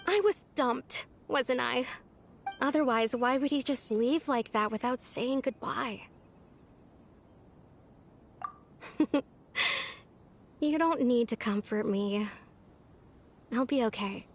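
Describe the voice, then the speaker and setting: An actress playing a gentle young female villager in a video game, reflective and halting, wistful but brave. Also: a little high. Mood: bittersweet, brave. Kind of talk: video game character dialogue.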